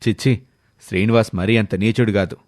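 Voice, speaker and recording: A man voicing a mildly exasperated, news-bearing husband in an animated moral story. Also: on the low side, fairly quick, no room sound, clean studio sound, pitched below the performer's own voice, lightly acted.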